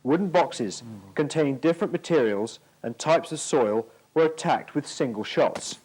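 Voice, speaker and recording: A man's voice: a little high, animated, moderate pace, a little mellow, husky, low-quality recording. A British man giving formal, precise scripted narration for an instructional military training film from the 1980s.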